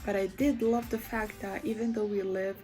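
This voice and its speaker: Young woman chatting loosely in english, lots of likes and you knows, soft music under it. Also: mid-range voice, fairly even intonation, normal speed. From Sarajevo, Bosnia and Herzegovina. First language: Bosnian. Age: young adult. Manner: casual, chatty, with frequent fillers and restarts. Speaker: university student. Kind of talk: reflective video monologue.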